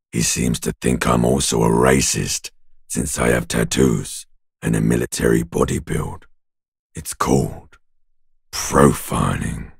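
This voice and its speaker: Cavernous, gravel-throated, taunting corpse. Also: male voice, extremely low pitch, clean studio sound, heavily acted. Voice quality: gravelly, rasping growl. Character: bloated talking male corpse, bitter, mocking, world-weary. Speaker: voice actor. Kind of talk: video game character voice lines.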